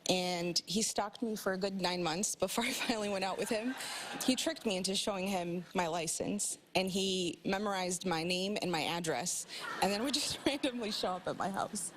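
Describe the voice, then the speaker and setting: A woman's voice: medium pitch, normal speed, noisy background. A woman in her thirties, a talk show guest who tells a story in a chatty way and laughs as she talks. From Polish family in the US.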